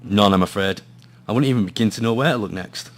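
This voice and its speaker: A man's voice: fairly low pitch, quick pace, natural voice. An actor playing a gloomy hard-boiled male detective, narrating and talking in a noir radio play with brooding drama. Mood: regretful.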